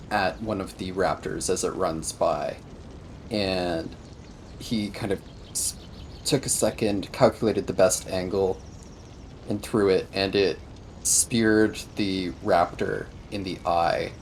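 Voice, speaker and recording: A man's voice: low-pitched, takes it slowly, slight background noise. A man playing a tabletop roleplaying game, improvising his character's words and actions in casual talk.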